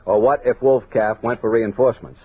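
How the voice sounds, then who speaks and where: An actor playing a resolute, watchful army captain who narrates a western radio drama in measured, earnest tones. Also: male voice, medium pitch, steady tone, slightly rough, dry recording, narrow, tinny audio. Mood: grave, uneasy. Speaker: narrator and lead character. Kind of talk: 1960s western radio drama acting, first-person narration. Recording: noisy, band-limited 1960s radio recording.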